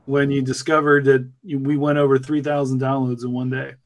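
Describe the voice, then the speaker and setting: A man's voice: mid-range voice, level tone, brisk. A man acting as host in a casual podcast chat, putting questions to guests.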